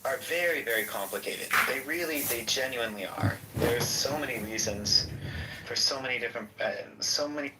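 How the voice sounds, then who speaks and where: A man's voice: mid-range voice, fairly quick, heavy background noise. A man talking in a rambling, hesitant way with many fillers during a live audio room discussion. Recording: distant mic with faint ambience.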